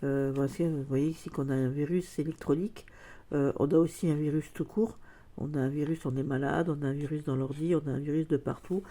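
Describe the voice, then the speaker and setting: A woman's voice: low-pitched, steady tone, brisk, dark tone. A woman chatting casually in a vlog, hesitant with many fillers, her nose stuffed up from a cold. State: congested, a cold. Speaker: vlogger. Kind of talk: casual chat.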